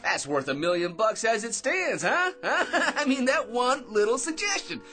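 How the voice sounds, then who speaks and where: A swooping, giggly, boastful office crank. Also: male voice, very high-pitched, sing-song, moderate pace. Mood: excitable, boastful. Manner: self-important, nagging, giggles at his own remarks. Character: boastful, chattering male office crank full of schemes. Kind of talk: radio drama acting.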